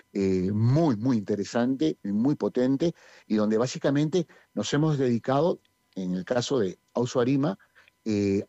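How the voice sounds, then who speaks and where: A man's voice: mid-range voice, mellow, no echo, highs cut off. A Peruvian man with a Peruvian Spanish accent who directs a community theatre group, talking in a radio interview and hesitating with many fillers. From Peru.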